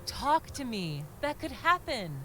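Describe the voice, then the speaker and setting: A woman's voice: sits high, very animated, slow pace, sharp tone, soft background music, exaggerated voice. An actress voicing a teasing, possessive female apparition in a video game, playful and scolding. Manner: playful, scolding, insistently familiar.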